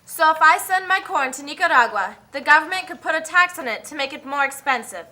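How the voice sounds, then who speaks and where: A woman's voice: high-pitched, expressive, fairly quick, sharp tone. A woman voicing an eager, needy American corn exporter in a puppet show.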